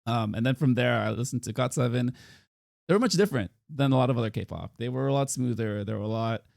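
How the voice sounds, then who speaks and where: A man hosts a casual talk podcast in a relaxed, teasing way, leaning on fillers as he thinks. His voice carries a gravelly fry at a mid pitch. The sound is dry and close, with no room around it. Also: fast, dry recording. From United States. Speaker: podcast host.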